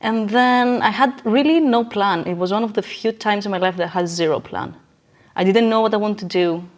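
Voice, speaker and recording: A woman's voice: medium pitch, fairly quick. A woman reflecting on personal experience in casual podcast conversation, emphatic and often checking in with the listener. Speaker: podcast guest.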